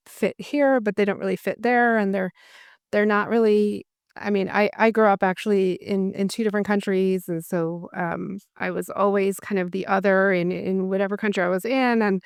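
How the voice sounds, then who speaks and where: A woman's voice: mid-range voice, gravelly, clear, resonant tone, no room sound, studio quality. A woman hosting an interview podcast, affirming her guest and talking with frequent fillers. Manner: warm affirming, many fillers. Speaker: podcast host. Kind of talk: interview conversation.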